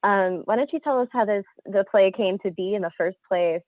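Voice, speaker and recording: A woman's voice: medium pitch, fairly quick, no room sound. Woman hosting over phone-like call audio. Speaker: host, marketing director of a theater company. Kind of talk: hosting a panel introduction.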